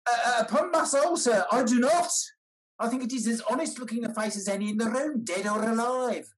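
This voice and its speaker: An older gentleman moneylender of period stage comedy, played by a man. He is courteous and hesitant, halting over his words as he politely bargains. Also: extremely high pitch. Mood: eager, pleading. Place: dim room indoors, video call.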